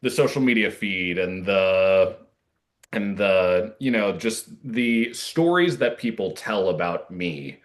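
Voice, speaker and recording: A man with a fairly low voice talks casually as an interview guest. He is reflective and somewhat halting, working out his thoughts aloud as he goes. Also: lively intonation.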